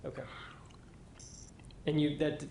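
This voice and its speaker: A man's voice: on the high side, completely flat delivery, slow, vocal fry, dark tone, reverberant, a little hiss or hum. A man questioning a witness in court as prosecutor, methodical, with short probing questions.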